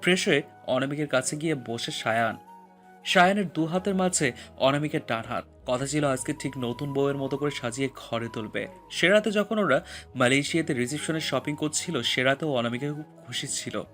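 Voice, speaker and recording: A man narrating romantic fiction as a scripted audio story, with expressive, emotional storytelling. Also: medium pitch, moderate pace, faint music behind.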